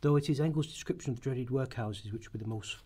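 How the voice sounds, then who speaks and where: A man reading scripted documentary narration for a radio play rehearsal, in a measured way with occasional stumbles. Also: mid-range voice, dark tone, breathy edge.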